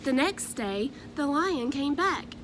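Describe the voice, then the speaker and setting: Woman, children's read-aloud, higher pitch, very slow pace, expressive narration, noisy recording. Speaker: storybook reader.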